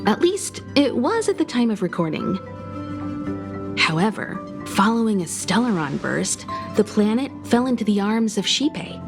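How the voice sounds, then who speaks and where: A woman's voice: mid-range voice, sing-song, unhurried. An actress voicing a poised, worldly female navigator in a video game, speaking gracefully and articulately. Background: game music.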